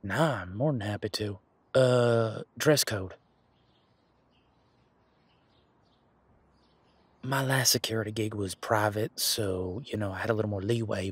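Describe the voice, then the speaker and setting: A man playing a laid-back, responsible werewolf security guard in a casual roleplay audio, talking in a colloquial, halting, matter-of-fact way with a husky voice. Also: on the low side, fairly bright tone, no echo. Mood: agreeable, businesslike.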